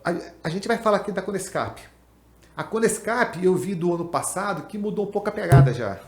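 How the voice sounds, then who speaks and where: A chatty man in his fifties from Pernambuco hosts a podcast, talking in an animated, slangy way. His voice sits fairly high. The room adds a clear echo. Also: a little fast. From Pernambuco, Brazil. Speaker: podcast host, accountant. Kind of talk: casual chat. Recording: echoey room.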